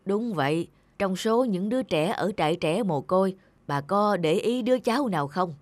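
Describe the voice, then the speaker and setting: A man's voice: sits high, expressive, moderate pace, no room sound. A composed older cartoon businessman. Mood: thoughtful. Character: kindly, composed grey-haired businessman husband in late middle age. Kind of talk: animated cartoon dubbing.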